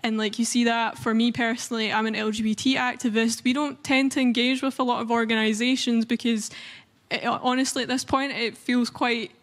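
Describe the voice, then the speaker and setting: Young Scottish woman, flat earnest delivery. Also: on the high side, brisk, in a large hall. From Scotland. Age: young adult. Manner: earnest, frequent "um" and "like" fillers. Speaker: panel speaker, youth activist. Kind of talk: panel discussion remarks.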